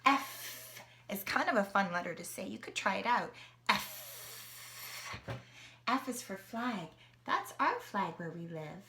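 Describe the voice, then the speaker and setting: A woman's voice: mid-range voice, lively intonation, slow pace, bright, forceful tone, slight background noise. A woman from Canada reading a picture book aloud to a young child, asking questions and gasping at the pictures. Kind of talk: children's story reading with sing-along.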